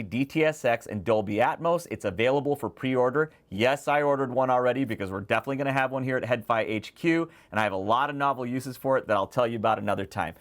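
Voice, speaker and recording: A man's voice: mid-range voice, fairly bright tone. A man hosting a product preview, speaking straight to viewers in an enthusiastic, emphatic scripted style. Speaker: host and presenter of a headphone show.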